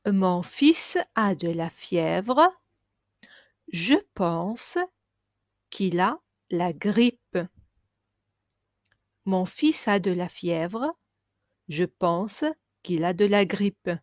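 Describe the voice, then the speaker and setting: Lady teacher saying phrases slow and clear then rattling off the explanation, dry close sound. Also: fairly low pitch, dry recording. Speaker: French teacher. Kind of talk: language lesson.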